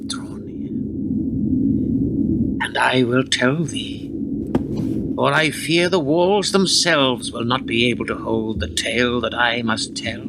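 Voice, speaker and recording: A man playing a burdened medieval lord and father in a radio play, speaking solemn, archaic lines in a halting, breathy voice. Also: mid-range voice, steady tone, heavy background noise. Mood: grave, fearful. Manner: solemn, halting, drawn-out delivery.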